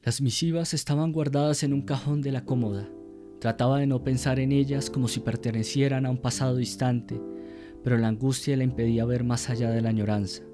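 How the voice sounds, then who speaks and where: A man narrating a literary radio drama in a measured, solemn way. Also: medium pitch, steady tone, over music.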